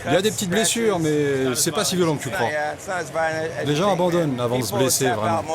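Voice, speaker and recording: A man's voice: on the high side, lively intonation, brisk, natural voice. A man voicing an earnest fight fan in a dubbed documentary street interview. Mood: earnest, insistent. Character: earnest male fight fan defending his sport. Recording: very loud outdoor crowd behind.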